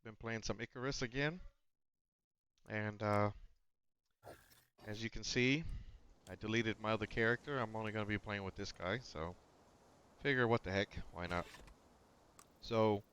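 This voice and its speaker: A man's voice: on the low side, unhurried, pauses often, a bit bright, clean tone, muffled recording. A man giving casual gameplay commentary on a headset mic, slightly nasal, rambling with fillers and restarts. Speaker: gaming channel host. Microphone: noise-cancelling headset.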